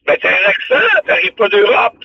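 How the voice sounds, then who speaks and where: A man's voice: high-pitched, huge pitch swings, normal speed, vocal fry, slightly rough, distant mic, highs cut off. An older man, past sixty, with a Quebec French accent, chatting on radio in a rambling, exclamatory way. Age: 60s or older. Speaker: radio show host. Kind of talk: casual reminiscing chat on radio.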